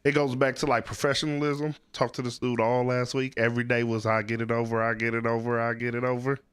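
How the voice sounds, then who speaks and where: A man's voice: mid-range voice, little pitch movement, fast talker, fairly bright tone, smooth, no room sound. Male podcast co-host, close dry sound. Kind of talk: casual podcast chat.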